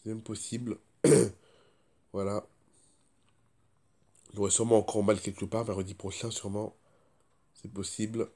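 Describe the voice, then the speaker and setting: A man's voice: fairly low pitch, flat delivery, normal speed, long pauses, mellow. A man chatting casually as a vlogger, stuffed up with a cold and sore throat, rambling and repeating himself. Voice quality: stuffy-nosed voice. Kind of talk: casual first-person vlog chat.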